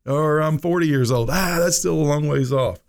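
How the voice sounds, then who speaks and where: Gravelly-voiced folksy radio host. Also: male voice, on the high side, moderate pace. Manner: folksy, rambling, frequent "you know". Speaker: radio show host, financial advisor. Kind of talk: casual radio talk.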